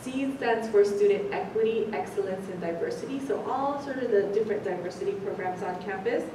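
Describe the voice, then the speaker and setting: An American woman presents informally to new students in a chatty, halting way. Her voice sits at a middling pitch. It comes from a distance in a very echoey room, with faint rumble underneath. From San Francisco Bay Area, United States; born in the Philippines. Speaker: orientation presenter, university associate director.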